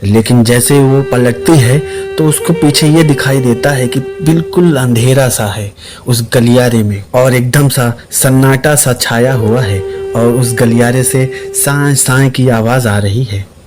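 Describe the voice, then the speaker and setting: A man's voice: medium pitch, measured pace. A man narrating a scripted suspense story as the storyteller. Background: background music.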